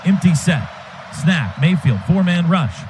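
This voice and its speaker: A man calling a football game play by play on the radio, in rapid, clipped bursts of action. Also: a little high, sing-song, darkish tone, faint music behind. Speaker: play-by-play announcer. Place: stadium broadcast booth. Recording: noisy stadium radio broadcast.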